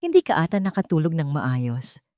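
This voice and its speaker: A woman voicing a kind, devoted young man in a romance story, gentle and reassuring. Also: low voice, wildly swooping pitch, normal speed, no echo, clean studio sound. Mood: friendly. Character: kind, devoted young man comforting his childhood sweetheart.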